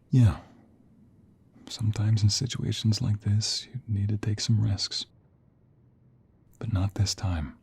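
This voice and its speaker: ASMR roleplay: male actor as tender, protective mobster on the run, intimate and reassuring, dark, gravelly low murmur. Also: a little hiss or hum. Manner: intimate, hushed, reassuring, addressing the listener. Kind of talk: ASMR roleplay audio drama.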